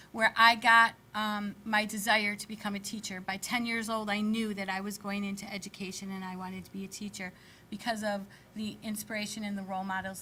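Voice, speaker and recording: A woman, giving an energetic, chatty candidate statement at a public forum. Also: medium pitch, a little hiss or hum. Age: 50s. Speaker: school board candidate.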